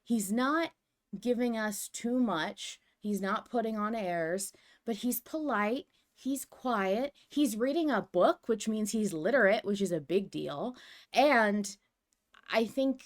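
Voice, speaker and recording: Female podcast host, casual and animated, prone to emphatic, rambling asides. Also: mid-range voice, slow. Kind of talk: casual discussion of a fiction podcast.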